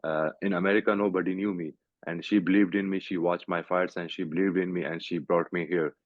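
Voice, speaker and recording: A young Pakistani man, a fighter, answering interview questions over a video call in halting English full of "like" and "you know". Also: low-pitched, steady tone, no room sound. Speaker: guest fighter. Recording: tinny call audio, dry.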